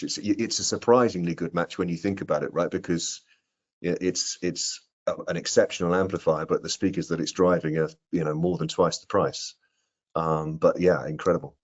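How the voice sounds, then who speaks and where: Very deep-voiced man on a muffled call. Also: level tone, moderate pace. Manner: hesitant, repeats words while explaining. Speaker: product presenter answering audience questions. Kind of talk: informal technical Q&A. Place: indoor room on a video call. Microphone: video call microphone. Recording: dull, band-limited video-call audio.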